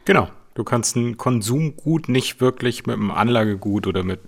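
A man speaking as a financial expert in an informal, explanatory interview, often pausing on filler sounds. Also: medium pitch, expressive.

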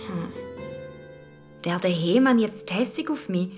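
A woman's voice: medium pitch, slow pace, lightly acted. A woman acting an earnest, imaginative schoolchild with a Swiss German accent, telling a story in a children's radio play. The child takes time over the words and muses as if thinking aloud. Music plays underneath. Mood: wondering. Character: earnest, imaginative schoolchild narrating their forest adventure. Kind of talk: first-person radio play narration for children.

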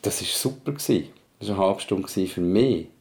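A man's voice: deep voice, expressive, fast, darkish tone. A man with a Swiss German accent talking casually and frankly in colloquial words, as a podcast host. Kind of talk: casual personal conversation.

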